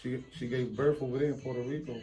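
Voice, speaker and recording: A man's voice: mid-range voice, steady tone, quick pace, dark tone, low-quality recording. A man, chatting as an interview guest in street slang and switching into Spanish now and then. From New York. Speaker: guest rapper. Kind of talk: casual interview chat.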